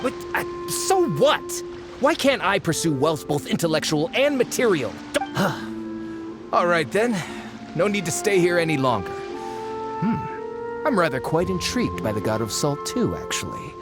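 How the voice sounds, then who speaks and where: A man's voice: fairly high pitch, sing-song, measured pace. A man voicing a greedy, pompous male archaeologist who blusters and gets defensive. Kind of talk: game voice acting. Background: game music.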